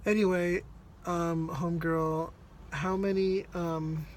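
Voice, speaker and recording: A woman's voice: fairly low pitch, fairly even intonation, slow, darkish tone, slight background noise. A woman co-hosting a casual, unscripted review chat, steering it with questions and prompts. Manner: questioning, keeps prompting the other speaker. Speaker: co-host steering a film review.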